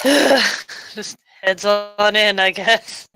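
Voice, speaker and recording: A woman's voice: mid-range voice, drawn-out words, sharp tone, low-quality recording. Laid-back woman playing a tabletop game. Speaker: player. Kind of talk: casual tabletop play.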